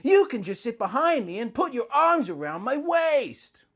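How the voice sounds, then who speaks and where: Man doing a high-voiced, gushing young hero husband. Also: sing-song, normal speed, fairly bright tone, full character voice. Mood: adoring. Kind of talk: melodramatic fan fiction dialogue.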